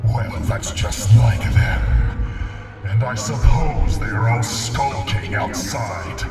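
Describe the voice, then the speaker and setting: A huge, ancient male dragon, voiced by a man, gloating and mocking as he drags out every word at a crawl. His threats boom through a cavernous echo, heard from a distance over loud music, like a fantasy audio drama. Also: slow.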